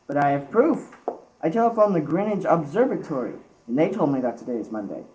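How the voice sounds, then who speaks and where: Exasperated male mill boss, acted by a teenage girl, lowish voice, very animated, amateur radio play. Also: brisk, low-quality recording. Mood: insistent. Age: young teenager.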